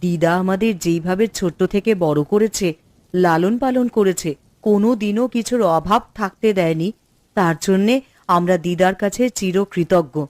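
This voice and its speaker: A woman playing a responsible, earnest eldest sister in a cartoon, speaking in a measured, instructive way. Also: mid-range voice, a little mellow, dry recording, natural voice. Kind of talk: Bengali cartoon story acting.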